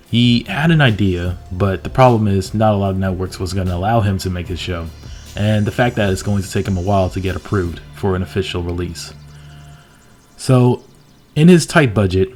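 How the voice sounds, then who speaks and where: A man narrating TV show commentary in wordy, somewhat halting explanations. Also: fairly low pitch, darkish tone. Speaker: video essay narrator.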